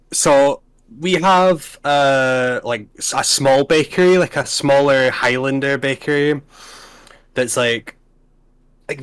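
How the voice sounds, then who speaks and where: A young man with a Scottish accent talks in an easy mid-range voice, neither high nor low. He rambles with plenty of profanity, loops back on himself and keeps the energy up. This is a loose, casual panel chat. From Scotland. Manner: rambling, profane and animated, with frequent restarts. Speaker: tier list panelist.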